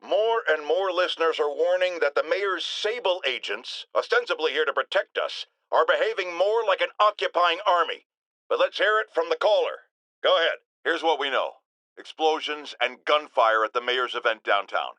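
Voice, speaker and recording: A middle-aged game radio shock jock, bombastic and paranoid. His pitch sits high and swings wildly as he delivers indignant, pompous talk-radio rants. The tone is bright and forceful, with every point hammered home. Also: animated, normal speed, no room sound, rough recording. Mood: ominous. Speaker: radio talk show host. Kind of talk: theatrical talk-radio rant.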